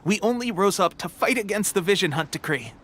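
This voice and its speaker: Earnest, high-voiced young soldier. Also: male voice, animated, a little fast, fairly bright tone. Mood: earnest, somber. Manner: earnest, breaking off to cough. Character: earnest young male resistance soldier, brave but ailing. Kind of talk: video game voice acting. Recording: game music behind voice.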